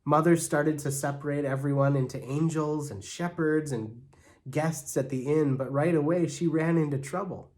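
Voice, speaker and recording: A man reading a children's story aloud in an animated, expressive way, as a church storyteller. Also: fairly high pitch, moderate pace. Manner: expressive, acts out characters' lines.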